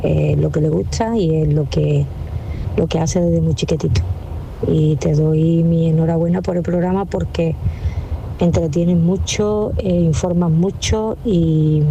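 A woman's voice: low-pitched, fairly even intonation, measured pace, gravelly, warm tone, slight background noise. A mother calling a radio show for the first time, speaking politely and hesitantly with many fillers. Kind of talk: casual phone-in chat. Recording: dull phone line.

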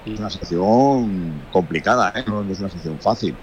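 A man who is a former footballer, talking as a radio pundit in a blunt, colloquial and animated way. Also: sits low, a little fast, slight background noise, poor audio. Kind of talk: casual sports radio chat.

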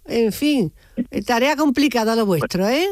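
A woman's voice: fairly high pitch, expressive, normal speed, darkish tone, no room sound, clean studio sound. A woman hosting a radio call-in, chatty and prompting, often repeating words as she goes. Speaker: radio host. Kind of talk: casual call-in interview.

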